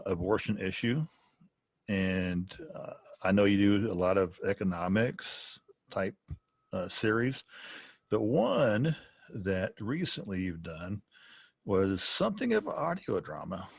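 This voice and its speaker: A man with a fairly low, husky voice hosts a casual podcast interview. He talks in a chatty, halting way and chuckles often. The sound is dry and close. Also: expressive, no echo. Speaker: podcast co-host. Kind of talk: casual, conversational interview hosting.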